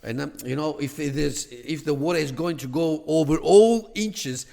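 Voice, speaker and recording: A man's voice: on the high side, normal speed, vocal fry, dark tone, big-room sound. A man, raised in Greece, speaking as a podcast guest who explains religious history thoughtfully, with frequent restarts and "you know" fillers. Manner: thoughtful, many restarts and "you know" fillers, rising emphasis on key points. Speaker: podcast guest, theology academic. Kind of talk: conversational explanation.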